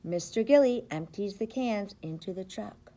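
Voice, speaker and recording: A middle-aged woman, a preschool teacher, reads a picture book aloud to young children with expressive, rhythmic, sing-song phrasing. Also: medium pitch, normal speed. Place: indoors, school classroom. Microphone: device camera at about arm's length.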